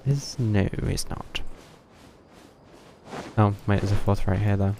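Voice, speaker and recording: A man with a lowish, gravelly voice casually narrates his own gameplay on a live stream. He mutters and thinks aloud to himself over clearly audible background noise. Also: fairly even intonation, takes it slowly. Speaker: game streamer.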